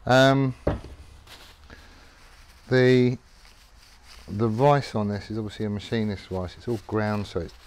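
A man's voice: lowish voice, fairly even intonation, slow, pauses often. A middle-aged man casually explaining his tool care while working in a garage workshop, rambling and matter-of-fact with many ums. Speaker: workshop vlogger.

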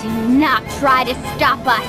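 An actress voicing a defiant, headstrong young woman in a video game, forceful and indignant. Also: high-pitched, fairly even intonation, a little slow, music in the background. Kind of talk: dramatic video game voice acting.

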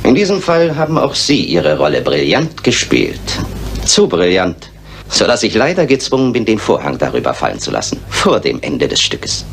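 A theatrical, vengeful villain in a radio crime play. The voice is fairly low and very animated, with formal, measured menace. Slight noise lies in the background. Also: male voice, creaky, heavily acted. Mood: mocking courtesy. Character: vengeful, theatrical male murderer, coldly courteous. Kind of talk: German radio crime drama acting.

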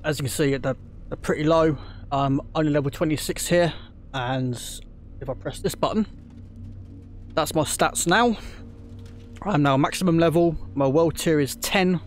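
A man's voice: a little high, moderate pace, long pauses, soft background music. Man rambling over gameplay. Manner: rambling, lots of ums and restarts. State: recovering from a throat infection. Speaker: gaming YouTuber. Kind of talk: casual let's-play commentary.

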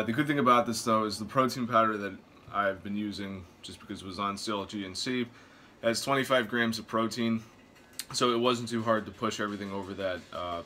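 A man in his twenties talking casually to camera in a vlog, relaxed and a bit self-deprecating, first from a parked car, then in a small kitchen. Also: on the low side, a little hiss or hum. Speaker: vlogger.

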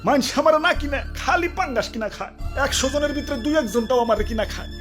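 A man's voice: very high-pitched, expressive, quick pace. A man voicing a vain, boastful hilsa fish king in a cartoon, talking in a rustic, theatrical way. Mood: self-pitying. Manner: rustic dialect, bragging, theatrical. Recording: cartoon with music behind.

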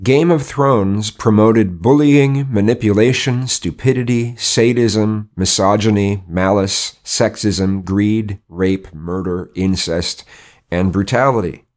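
Hoarse middle-aged man venting opinions. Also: on the low side, slow pace, a little mellow. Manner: rambling, frequent restarts and rhetorical questions. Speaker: vlogger. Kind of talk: casual opinion monologue to camera. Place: indoor studio with colored backdrop.

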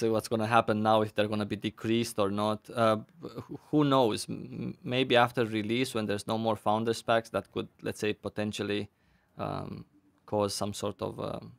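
A man hosting a livestream panel on a headset mic, reading viewer questions aloud with many pauses and fillers. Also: fairly low pitch, vocal fry, dry recording. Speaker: host.

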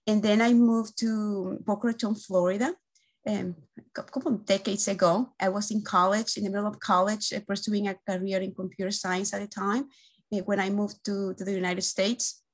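A woman, giving an earnest, halting webinar presentation as an engineering team lead, often pausing on "uh", over a video call from an indoor room. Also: medium pitch, flat delivery. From Guatemala. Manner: earnest, halting, with frequent "uh" fillers and restarts. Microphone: video call webcam.

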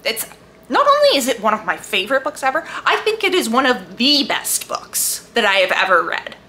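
A woman book vlogger chatting casually and enthusiastically to camera, leaning hard on emphatic, drawn-out words. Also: fairly high pitch, very animated, sharp tone, a little hiss or hum. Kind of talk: casual chat to camera about books.

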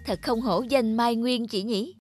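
A woman's voice: a little high, a little slow, a little mellow, faint music behind, natural voice. A woman playing an eager young female fashion designer in a cartoon, gushing and polite. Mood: excited. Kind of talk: cartoon dubbing.

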